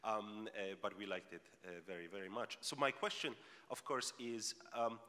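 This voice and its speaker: A man moderating a panel, speaking in a halting, wordy way with repeated words. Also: on the low side, bright, forceful tone. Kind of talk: panel moderation before an audience. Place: large conference hall. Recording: echoey hall with long reverb.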